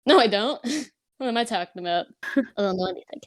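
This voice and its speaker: A woman's voice: fairly high pitch, lively intonation, brisk, no room sound, studio quality. A young woman voice actor chatting casually as a podcast guest, rambling with lots of fillers and frequent giggles. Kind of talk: casual chat.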